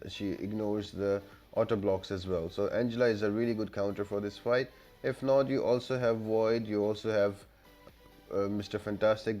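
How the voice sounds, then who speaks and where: Male game-guide narrator, lowish pitch, instructional step-by-step delivery over background music. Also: a little mellow. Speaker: game guide video creator. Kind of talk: gameplay tutorial voice-over.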